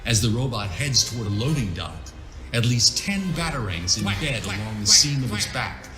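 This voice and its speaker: A man's voice: fairly low pitch, expressive, normal speed, roomy sound, music in the background. A man reading scripted scene descriptions as the narrator of a comic book adaptation.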